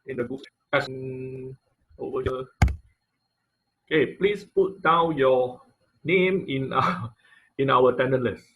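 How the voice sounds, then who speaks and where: A man teaching an informal online class and taking questions, speaking haltingly and often repeating phrases as he searches for words. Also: mid-range voice, phone-quality sound. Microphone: online video-call audio.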